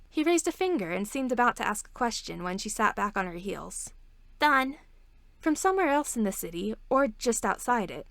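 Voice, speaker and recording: A woman's voice: mid-range voice, fairly bright tone, dry recording. A woman reading a scripted story aloud as narrator. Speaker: podfic reader.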